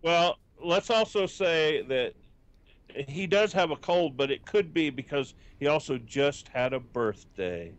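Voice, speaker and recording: A man's voice: a little high, lively intonation, a little slow. A laid-back man co-hosting a live stream who likes to repeat his phrases and laughs easily. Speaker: co-host. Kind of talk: casual live show banter.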